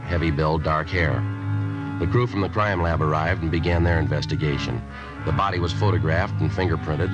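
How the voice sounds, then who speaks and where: An actor playing a stoic, methodical American police detective, narrating a radio crime drama in terse, deadpan, matter-of-fact phrases. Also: male voice, extremely low pitch.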